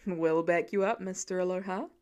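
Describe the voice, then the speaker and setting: A woman voicing a calm, soothing father with gentle dry humour in a storybook reading. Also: lowish voice, moderate pace, pitched below the performer's own voice, natural voice. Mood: dry, teasing.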